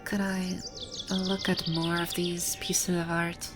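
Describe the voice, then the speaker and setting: A woman's voice: lowish voice, level tone, slow pace, over music. A woman voicing a haughty, bossy video-game villainess who stammers and halts when flustered, in an ASMR roleplay. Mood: softened, wondering. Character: haughty, flustered female video-game boss brought to life. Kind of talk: ASMR roleplay audio drama.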